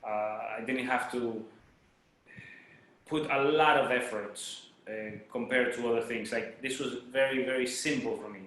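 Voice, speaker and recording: Guest: man, bright edgy voice, halting with uhs, heavy room echo. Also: medium pitch, fairly even intonation. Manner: hesitant, many uhs and restarts. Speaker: guest, dancer. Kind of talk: casual interview chat. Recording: very echoey room.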